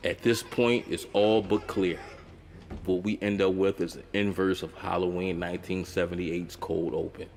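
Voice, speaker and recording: Low-voiced male narrator for a film essay; dry wit, casual cursing, reverberant sound. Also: flat delivery, moderate pace, darkish tone, a little hiss or hum. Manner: wry, conversational, with profane asides.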